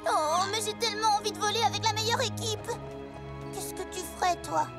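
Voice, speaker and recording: A woman's voice: very high-pitched, fairly quick. A woman voicing a brash, competitive young female pegasus pony in a French cartoon dub, with a dramatic delivery. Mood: torn. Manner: dramatic, hesitant when cornered. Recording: music bed under the dialogue.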